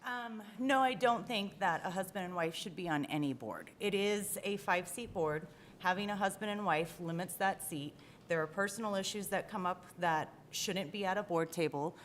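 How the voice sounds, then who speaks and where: A woman's voice: mid-range voice, normal speed, a little hiss or hum. A woman answering as a school board candidate at a forum, blunt and emphatic. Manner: blunt, declarative.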